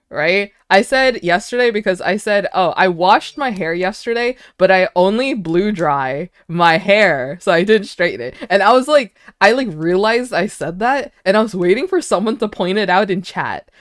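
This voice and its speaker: A woman's voice: mid-range voice, no echo, clean studio sound. A young American woman streamer chatting casually with viewers, giggling often and restarting her sentences. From United States. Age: young adult.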